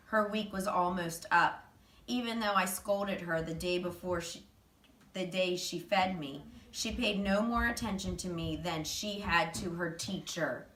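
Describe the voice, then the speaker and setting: Roomy recording of a bright-voiced woman reading aloud. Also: on the low side. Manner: animated storytelling. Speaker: reader, teacher. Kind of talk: expressive children's book read-aloud. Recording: roomy, distant mic, faint noise.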